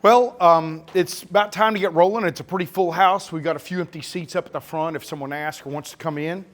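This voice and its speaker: A middle-aged man with a slurred Texas-Oklahoma drawl addresses a packed event room at a camera store, his voice sitting a touch higher than most men's. He talks in a loose, joking way, needling the crowd and tossing in sarcasm between points. It is a live keynote presentation. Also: a little fast. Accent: Texas-Oklahoma blend. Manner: joking, teasing, sarcastic, chatty. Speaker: nature photographer giving a keynote talk.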